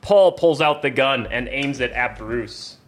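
A man's voice: mid-range voice, measured pace, soft background music. A man narrating an amateur comedic script reading and casually prompting the other readers.

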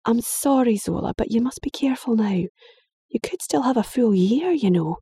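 A woman voicing an anxious, gentle middle-aged mother, soft and pleading. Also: fairly high pitch, expressive, normal speed, breathy edge, dry recording, studio quality, voice pushed up. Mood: fretful.

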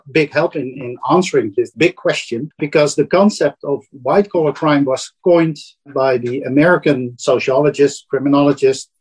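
Husky Dutch-accented man explaining. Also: fairly high pitch, darkish tone, low-quality recording. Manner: thoughtful, with tag "eh?" and restarts. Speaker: guest professor of criminology. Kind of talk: explaining in an interview.

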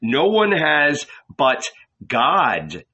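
A dignified, sorrowful holy man in captivity, voiced by a male narrator. He speaks with solemn calm in slow, deliberate phrases, with a clear forward edge, as in a dramatic audiobook. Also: on the high side, lo-fi sound, full character voice. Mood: calm, firm.

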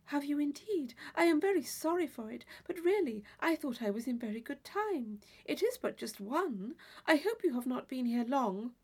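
Woman voicing a high, breathless, naive young girl. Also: big pitch swings, normal speed, few pauses. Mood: earnest, apologetic. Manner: breathless, wide-eyed, earnest. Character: naive, breathlessly eager teenage girl, sweet and impressionable. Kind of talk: dramatised classic novel reading.

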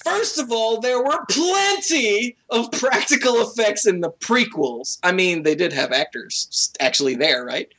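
A woman's voice: medium pitch, lively intonation, measured pace, hardly any pauses, fairly bright tone, husky. A woman in her thirties co-hosting a podcast, chatting casually and reading aloud in an amused, giggly manner. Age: 30s. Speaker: podcast co-host reading out reviews.

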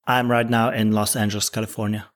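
Czech-born man in his thirties, measured. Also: lowish voice, little pitch movement. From Czech Republic. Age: 30s. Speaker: startup CEO guest. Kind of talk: podcast interview answers.

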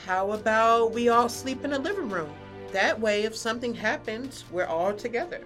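A woman's voice: a little high, expressive, normal speed, fairly bright tone. A woman playing a soothing, reassuring mother in a haunted house, in a student audio drama. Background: faint music.

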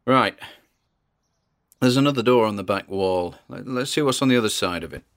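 An actor as a low, gravelly paranormal investigator. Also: male voice, normal speed, close to an everyday voice. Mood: curious, observant. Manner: hesitant, muttering observations with sighs and trailing asides. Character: uneasy male paranormal investigator narrating his exploration on tape. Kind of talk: audio drama found-recording monologue.

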